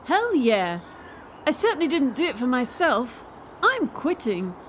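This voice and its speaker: A woman's voice: high voice, big pitch swings, measured pace, a little hiss or hum. A young woman playing a defiant gymnast in a radio play, talking in a frank, casual, resolute way. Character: defiant young female gymnast quitting the sport.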